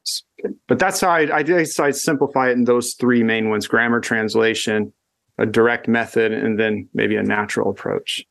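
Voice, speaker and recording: A man speaks in a relaxed, conversational way, working out his ideas aloud. His sentences often stall and restart while he searches for the right word. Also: on the low side, vocal fry. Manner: thoughtful, halting with frequent restarts. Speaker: guest educator. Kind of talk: casual conversation.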